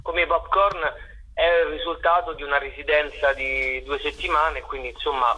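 A man's voice: fairly high pitch, steady tone, normal speed, pushed and bright, breathy edge, rough recording. A man in a casual radio interview as a guest, playful and hesitant, circling back over his own phrases. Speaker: actor and playwright, interview guest.

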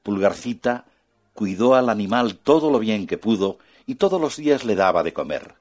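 A man narrating a children's fairy tale in an expressive, soft-spoken way. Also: lowish voice, moderate pace. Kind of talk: children's storytelling.

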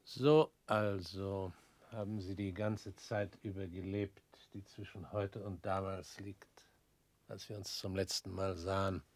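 An actor in a radio play voicing a weary former prosecutor, measured and probing, sometimes dropping to a near whisper. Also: male voice, deep voice, flat delivery, a little mellow, no echo, full character voice.

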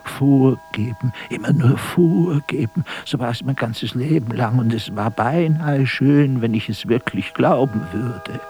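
A man playing a world-weary, self-mocking stage actor in a radio drama monologue, declaiming haltingly with bitter irony and often sinking to a breathy whisper. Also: medium pitch, noisy background, close to an everyday voice. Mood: wistful, bitter.

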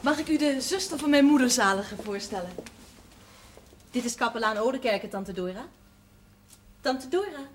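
Woman playing polite young hostess, slightly high voice, brisk, old tv drama, noisy with dishes clinking. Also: animated, natural voice. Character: courteous young daughter of the house, hostess. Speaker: actress in television drama. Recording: noisy 1970s television scene, clinking dishes.

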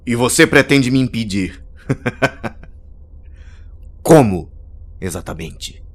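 Guy doing a smug mocking villain for an audio drama, theatrical, pitch jumps all over. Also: expressive, slow. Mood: menacing, taunting. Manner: theatrical, sneering, taunting. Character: arrogant, mocking male villain, self-styled president and conqueror. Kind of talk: scripted audio drama acting.

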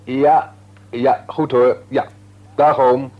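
An actor playing an easygoing, dutiful married shopkeeper in a radio serial, talking naturally with a mildly wry manner. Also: male voice, medium pitch, fairly even intonation, extremely slow, muffled recording. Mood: polite, dutiful. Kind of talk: Dutch radio serial drama acting.

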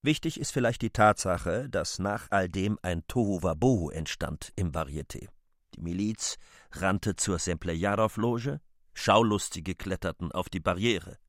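A male narrator with a very low voice reads the story of a radio play. The sound is close and dry. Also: a little slow, dry recording.

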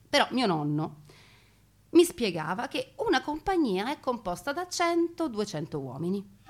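A woman narrating family war memories as a theatrical monologue, with dramatic delivery and conversational asides to her listeners. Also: on the low side. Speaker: stage narrator and storyteller.

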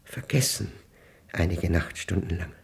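Husky, brooding elderly man. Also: deep voice, heavily acted. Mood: agitated, intense. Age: older. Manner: halting, deliberate phrases, musing. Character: elderly solitary man musing into a tape recorder, brooding and meticulous. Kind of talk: radio play monologue, introspective.

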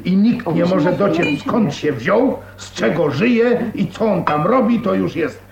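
A man playing a pompous, dismissive middle-aged uncle with a creaky voice in a radio drama. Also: high voice, animated, measured pace, full character voice. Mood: dogmatic. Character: pompous, dismissive uncle, a stern family patriarch. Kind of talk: 1980s Polish radio drama acting. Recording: roomy radio studio, people around.

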